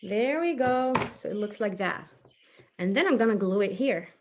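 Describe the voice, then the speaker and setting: A woman's voice: mid-range voice, expressive, moderate pace. A woman presenting a craft for young children, talking casually and encouragingly as she thinks aloud through each step. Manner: chatty, encouraging, thinking aloud while working with hands. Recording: faint background noise, small clicks.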